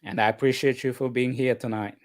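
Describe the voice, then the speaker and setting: A man hosting a live relationship show, welcoming viewers and guests in a slow, deliberate way and often repeating himself. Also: mid-range voice, fairly even intonation, no echo, studio quality. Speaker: host of a call-in relationship show.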